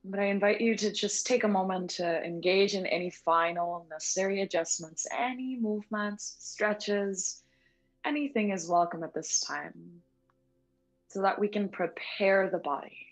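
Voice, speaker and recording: A woman instructor leading a guided meditation in a gentle, soothing, unhurried manner with long pauses. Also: medium pitch, rough recording. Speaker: yoga nidra meditation instructor. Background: background music.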